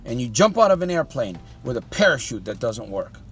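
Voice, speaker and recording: A man talks as a life coach in a motivational monologue, his pitch rising and falling widely from one phrase to the next. He keeps pressing the listener with repeated rhetorical questions and calls them "my friend". Soft music plays faintly underneath. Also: sing-song, fairly quick.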